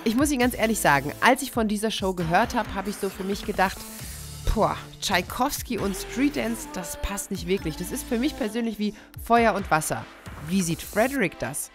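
A woman's voice: mid-range voice, animated, normal speed. Woman voice-over reporter over music. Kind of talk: scripted voice-over narration.

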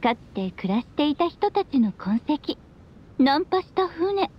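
A woman's voice: high voice, big pitch swings, a little slow, highs cut off. A woman playing a playful, free-spirited witch mother in a Japanese anime game, teasing and affectionate.

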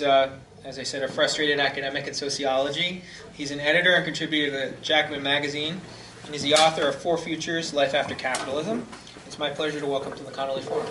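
A middle-aged man chairing a forum, introducing the speaker in a halting, filler-heavy way from a podium. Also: fairly high pitch, pushed and bright. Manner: hesitant, many fillers. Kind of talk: informal talk introduction. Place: community hall, indoors. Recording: echoey hall, far from the camera mic, faint noise.

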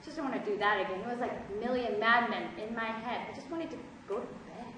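High-pitched rhyming schoolgirl young woman acting, very echoey. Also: fast, close to an everyday voice. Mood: dazed, subdued. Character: hurt, proud schoolgirl who speaks in rhyme. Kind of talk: amateur school stage play, rhyming dialogue. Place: school theatre stage. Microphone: distant camera microphone. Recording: echoey theatre stage, far off, noisy.

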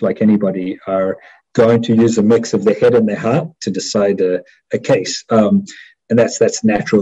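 A middle-aged man with a New Zealand accent, explaining as an interview guest in a measured, hesitant way over a headset on a video call. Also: lowish voice, dark tone, husky. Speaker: interview guest, war crimes prosecutor. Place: small indoor room at home. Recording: dull video-call audio.

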